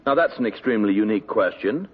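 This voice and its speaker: Guy playing a stuck-up tailor, husky low voice, sneery, dull old radio sound. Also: animated, a little hiss or hum, lightly acted. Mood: haughty. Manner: sneering, condescending, drawn-out delivery. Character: snobbish haughty male tailor, middle-aged. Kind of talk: 1950s radio drama acting.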